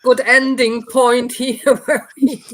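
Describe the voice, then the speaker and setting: A woman's voice: fairly high pitch, level tone, slow pace, fairly bright tone. A woman hosting a casual livestreamed book discussion. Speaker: discussion host.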